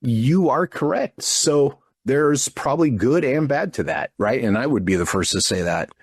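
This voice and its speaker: A man in his fifties talking casually as an interview guest, blunt and informal, often checking his points with a quick "right?". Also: mid-range voice, expressive, husky, dry recording. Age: 50s. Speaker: interview guest, front desk trainer. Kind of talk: casual, animated opinion talk.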